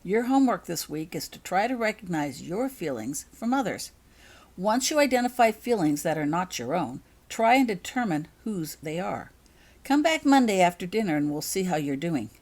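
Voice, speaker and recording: School matron healer, read by a woman, patient, firm, encouraging, storybook delivery with animated intonation. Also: fairly low pitch, moderate pace.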